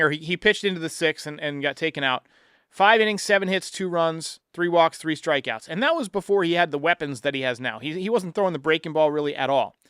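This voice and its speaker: Sports talk host, male, fairly high smooth voice, blunt and emphatic, swears freely, dry close sound. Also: a bit bright, no echo. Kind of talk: opinionated sports commentary.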